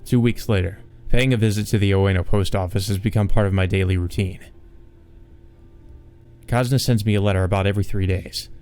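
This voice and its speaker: Low-voiced man reading a visual novel aloud. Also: steady tone, a little fast, dark tone. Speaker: let's play host. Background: game music.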